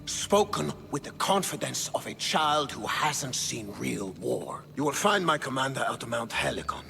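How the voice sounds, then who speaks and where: A man's voice: mid-range voice, big pitch swings, moderate pace, gravelly, sharp tone, exaggerated voice. A man acting a haughty, scornful Spartan king in a video game, commanding and sardonic, with a declamatory delivery. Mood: stern, challenging. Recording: reverberant stone hall, music underneath.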